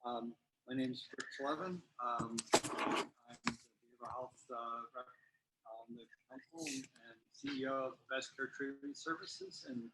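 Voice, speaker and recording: A man's voice: mid-range voice, very animated, gravelly. Dry-humored man, heavy room noise. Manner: dry, joking, halting with fillers. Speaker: treatment services CEO on council. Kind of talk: casual self-introduction with joke. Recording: noisy room.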